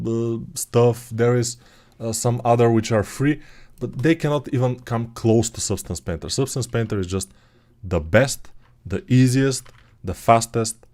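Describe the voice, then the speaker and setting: A man's voice: medium pitch, a little mellow. A man with a Bulgarian accent teaching 3D modeling on a live stream, chatting casually and answering viewer questions with many restarts and fillers. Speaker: 3D art instructor.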